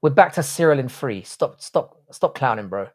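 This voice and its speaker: A man's voice: medium pitch, animated, normal speed. A young man runs a casual live stream, reading out chat messages and firing back blunt, crude jokes. His voice sits in the middle of the male range. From Ireland, grew up in the UK. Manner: blunt, jokey, crude banter. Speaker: livestream host reading chat.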